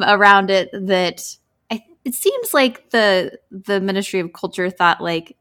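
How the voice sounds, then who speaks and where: A woman's voice: medium pitch, fairly bright tone. A woman co-hosting a podcast in a casual, chatty style, colloquial and leaning on emphatic words. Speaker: podcast co-host.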